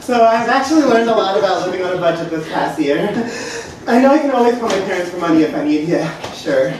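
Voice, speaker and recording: A man's voice: high voice, lively intonation, quick pace, noisy background. A young man playing a cheerful, sanctimonious teacher turned amateur chef in live sketch comedy, with a self-satisfied delivery, recorded in a theater. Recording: echoey theater, distant mic, audience laughter.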